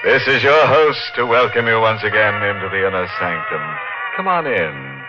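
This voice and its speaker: A man playing a ghoulish radio show host who delivers macabre puns slowly and gloatingly, in a 1950s radio drama. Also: lowish voice, big pitch swings, over loud music. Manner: slow, gloating, punning delivery. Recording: dull old 1950s radio broadcast.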